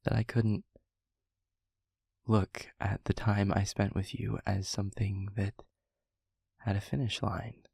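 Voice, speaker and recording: Man acting as male demon steward, slow halting delivery, breathy and gravelly, soft-spoken, reflective, intimate audio roleplay, close dry sound. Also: little pitch movement, no room sound, exaggerated voice. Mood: tender, sincere. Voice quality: husky, breathy, gravelly low voice.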